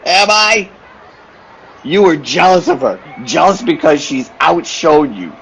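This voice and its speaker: A man plays an anguished little circus man in a radio play. His voice is highish and creaky with big swings of pitch, insistent and melodramatic. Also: expressive, a little slow, a bit bright, a little hiss or hum, exaggerated voice, poor audio. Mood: accusing.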